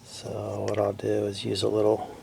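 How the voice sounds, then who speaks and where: A man giving casual hands-on repair commentary, haltingly thinking aloud as he works. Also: lowish voice, completely flat delivery, gravelly, a little mellow, poor audio. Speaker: repairer demonstrating his work. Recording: faint background noise.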